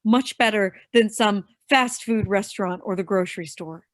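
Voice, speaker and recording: A woman's voice: medium pitch, moderate pace, no room sound, close to an everyday voice. Bitter young henchwoman, played by an actress, dry close sound. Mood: bitter, defensive. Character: bitter, defiant young woman turned reluctant henchwoman. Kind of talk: staged play reading.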